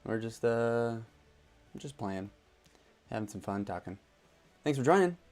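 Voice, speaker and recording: A man's voice: medium pitch, unhurried. A man hosting a casual gaming livestream, chatting loosely and reading out viewer messages. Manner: relaxed, chatty, reads out chat messages. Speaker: streamer host.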